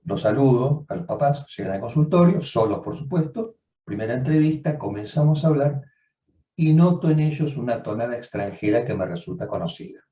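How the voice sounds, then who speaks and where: A man, a psychoanalyst, giving a measured, reflective seminar lecture, often rephrasing as he goes. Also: mid-range voice, dark tone. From Argentina. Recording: dull, roomy sound from far off.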